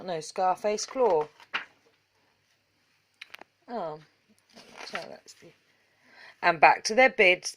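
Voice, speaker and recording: A woman reading a children's storybook aloud in measured, rhythmic verse. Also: lowish voice. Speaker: story reader.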